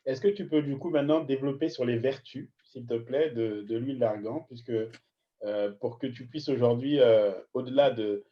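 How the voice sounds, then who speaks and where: A man's voice: medium pitch, normal speed, dull audio. A man hosting an online interview, asking long, wordy questions broken up by hesitations. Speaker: host.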